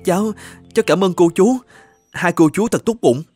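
High-voiced, shy young male student. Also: moderate pace, a little mellow, husky, soft background music. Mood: grateful, earnest. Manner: hesitant, deferential. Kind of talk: animated moral-tale dubbing.

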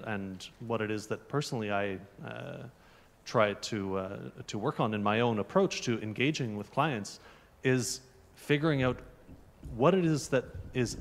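A man in his thirties asks a question from the audience, holding a microphone. His voice sits at a medium pitch and rings with a long hall reverb. He talks in a measured, careful way with frequent pauses. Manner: measured, many fillers and restarts. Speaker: audience member asking a question. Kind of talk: conference Q&A question. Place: large lecture hall. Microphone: handheld microphone. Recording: echoey hall.

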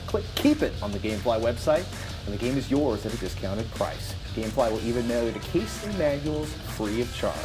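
A man in his forties with an American accent, talking casually and emphatically as a show co-host. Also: medium pitch. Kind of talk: casual explaining and sponsor reads. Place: TV studio at a desk.

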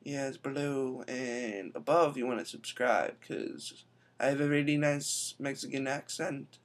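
A young man chats through a tech tutorial while recording his screen. His voice sits at a middling pitch. He talks casually and keeps breaking off for quick asides into a laptop webcam mic in an indoor room. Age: young adult. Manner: chatty, quick asides, says "I'm telling you". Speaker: tech vlogger.

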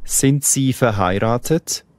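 A man reading short lesson dialogue lines slowly and clearly. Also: mid-range voice, darkish tone, in a large hall. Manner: slow, clearly enunciated phrases. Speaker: German dialogue speaker. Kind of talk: slow scripted language-lesson dialogue.

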